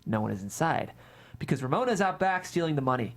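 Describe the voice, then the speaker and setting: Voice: medium pitch, sing-song, fast. A narrator reading scripted stage directions at a table read of a screenplay.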